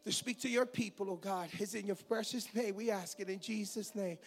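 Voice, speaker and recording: Raspy, gravelly preacher man in his fifties. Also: sits high, a little fast. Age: 50s. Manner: goofy, joking asides between scripture reading and prayer. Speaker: pastor. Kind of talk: teaching sermon.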